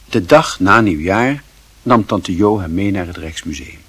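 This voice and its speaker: A man narrating a literary radio play with measured, deliberate phrasing. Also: low voice, lively intonation, fairly bright tone, slightly rough, exaggerated voice. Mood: measured, wry. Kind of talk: scripted narration.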